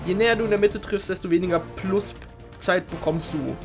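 A young man hosting a game show, giving informal, excitable live commentary on a video game race. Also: high voice, moderate pace. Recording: music behind the voice.

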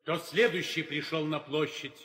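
An actor playing a formal royal herald, making ceremonious proclamations in a 1960s theatrical audio drama. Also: male voice, fairly high pitch, steady tone, normal speed, a bit bright, natural voice. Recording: echoey hall reverb.

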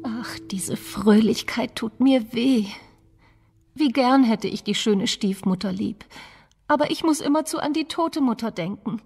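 Sad young princess, high voice, plaintive, earnest, long reverb, fairy-tale radio play. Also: female voice, a little slow, gravelly, darkish tone, husky, heavily acted. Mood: sorrowful, lonely. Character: sorrowful, gentle young princess, lonely and self-doubting. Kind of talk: German fairy-tale audio drama. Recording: long hall reverb, faint music.

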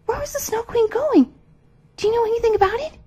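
A sweet, anxious little girl from a storybook, put on by a woman reader. Her voice is high, earnest and pleading. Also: a little fast, warm tone. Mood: urgent. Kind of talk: storybook character voice.

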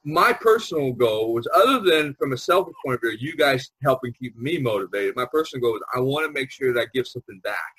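Older man, husky, rambling chat. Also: mid-range voice, lively intonation, fast, hardly any pauses, fairly bright tone, dry recording, poor audio. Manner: rambling, often says "you know". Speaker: artist hosting a group podcast. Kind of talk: casual group chat. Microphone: online video conference call.

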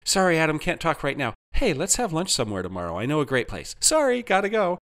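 A breezy, friendly woman with no time to spare, acted by a male narrator in an audiobook. The voice is lifted in pitch, lively and lightly dismissive. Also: moderate pace, full character voice. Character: brisk, friendly, too-busy female friend.

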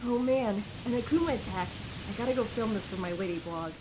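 A woman's voice: on the high side, brisk, background noise, natural voice. A woman voicing an eager, loyal teenage best friend in a fan comic dub.

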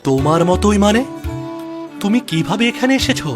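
A man's voice: high voice, lively intonation, brisk. A man voicing a formal, dramatic young prince in a fairy-tale cartoon dub. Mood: confused. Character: young prince leading a sea voyage, commanding. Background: music behind the voice.

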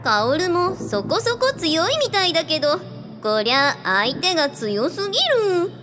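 A woman's voice: very high-pitched, big pitch swings, a little slow, full character voice. Woman as breezy, flirtatious glamorous lady spirit. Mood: knowing. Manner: coquettish, casual, self-assured. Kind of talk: radio drama acting. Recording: music behind the voice. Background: music.

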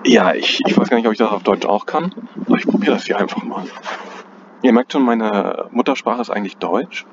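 A man's voice: on the low side, lively intonation, a little fast. A man casually talking through trading charts, thinking aloud and hesitant, often correcting himself, recorded outdoors on a cold day. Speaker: trading analyst. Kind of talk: casual chart analysis commentary. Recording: dull lo-fi audio with outdoor noise.

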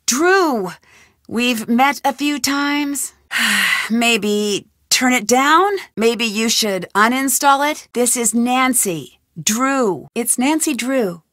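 A woman's voice: a little high, fairly bright tone, dry recording, studio quality. An actress voicing a curious young woman detective, asking polite, inquisitive questions in recorded game lines.

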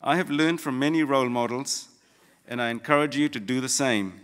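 Measured man speaking in a reverberant hall. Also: mid-range voice, a bit bright. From Fiji. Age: middle-aged. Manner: measured, deliberate, short phrases with pauses. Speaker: graduation guest speaker, lawyer. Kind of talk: prepared formal ceremony address, read from notes. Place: large auditorium on stage. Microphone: gooseneck podium microphone.

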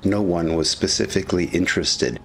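A man narrating a scripted historical documentary in a measured, serious manner. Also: low voice, fairly bright tone, slight background noise.

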